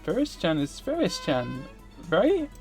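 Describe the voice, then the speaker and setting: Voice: mid-range voice, huge pitch swings, measured pace, faint music behind, pitched above the performer's own voice. A streamer voicing a perky, cutesy young female cat maid from a visual novel. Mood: sweet, eager. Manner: cutesy, with cat sounds in speech.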